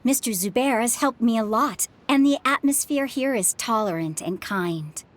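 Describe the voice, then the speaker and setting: A woman playing a kind, contented mother in a video game, speaking politely, calmly and sincerely. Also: a little high, normal speed, natural voice. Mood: wistful, accepting. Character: kind, contented widowed mother, theater prop worker. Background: faint game music.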